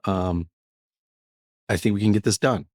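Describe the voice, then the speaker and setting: An upbeat man hosting a workplace podcast in a relaxed, chatty way, with plenty of fillers. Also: fairly low pitch, measured pace, no echo, clean studio sound. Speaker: podcast host. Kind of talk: casual podcast chat.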